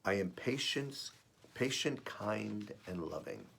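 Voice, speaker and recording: A man with a raspy, slightly under-the-weather voice, reading and reflecting as host of an earnest spiritual message, with deliberate pauses. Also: lowish voice, big pitch swings, fairly bright tone. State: voice rough while recovering from feeling unwell. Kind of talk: devotional reading and personal reflection.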